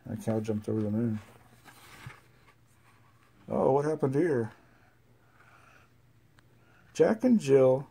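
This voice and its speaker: Gravelly parent reading nursery rhymes. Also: medium pitch, warm tone. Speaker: parent reading to a young child. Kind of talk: reading nursery rhymes aloud, chatting with a small child.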